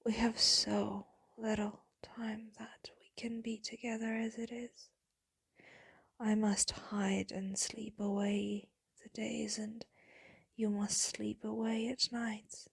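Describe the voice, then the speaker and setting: A woman playing a tender, melancholy vampire lover in an intimate ASMR roleplay, speaking in a hushed, breathy, doting way. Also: mid-range voice, flat delivery, full character voice. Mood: aching, wistful. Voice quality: breathy, whispery. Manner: slow, hushed, doting, wistful.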